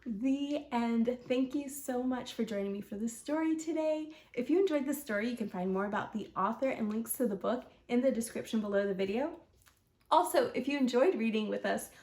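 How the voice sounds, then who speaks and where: A woman's voice: fairly high pitch, a little fast, a little mellow, reverberant. A woman reading a rhyming children's story aloud as the host of a read-aloud.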